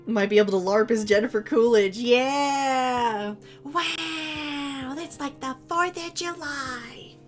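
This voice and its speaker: A woman's voice: sits high, slow pace, faint music behind. A woman streamer chatting casually with her viewers, rambling and giggling, her voice a little worn as if she is losing it. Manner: giggly, rambling, self-teasing.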